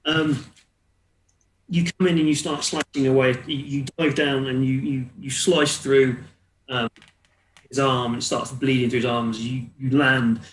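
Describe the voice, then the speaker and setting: A man's voice: medium pitch, flat delivery, a little slow, a little hiss or hum. A man running a tabletop roleplaying game as game master, narrating hesitantly and repeating words as he improvises. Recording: echoey room.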